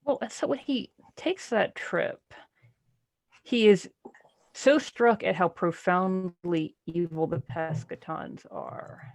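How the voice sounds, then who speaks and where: Creaky-voiced woman talking books. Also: fairly low pitch, takes it slowly, no room sound. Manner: analytical, questioning. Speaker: book club podcast panelist. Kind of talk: casual book discussion.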